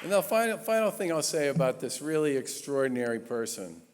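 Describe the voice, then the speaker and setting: A man's voice: on the high side, very animated, a crowd in the background, noisy background. Man in his 60s speaking in a reverberant church. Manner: earnest, emphatic speaking with measured pauses. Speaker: state governor introducing an award honoree. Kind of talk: formal introduction speech at a gala. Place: large historic church. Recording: long reverb of a large church hall.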